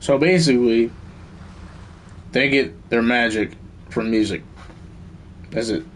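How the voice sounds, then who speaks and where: A man's voice: medium pitch, slow, a little hiss or hum, low-quality recording. A man chatting casually as a game streamer, slangy and laid-back. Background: faint game music.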